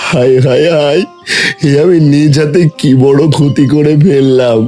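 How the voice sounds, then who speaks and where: A man's voice: a little high, normal speed, warm tone, soft background music, close to an everyday voice. A man voicing a middle-aged village hotel owner in a cartoon, stammering and lamenting his ruin.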